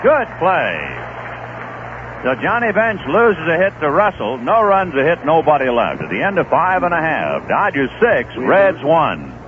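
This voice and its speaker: A man doing live play-by-play for a baseball radio broadcast, telling relaxed anecdotes between pitches, on a tinny old radio recording. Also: unhurried, a crowd in the background. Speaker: play-by-play announcer. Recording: tinny lo-fi 1970s radio broadcast with faint hiss.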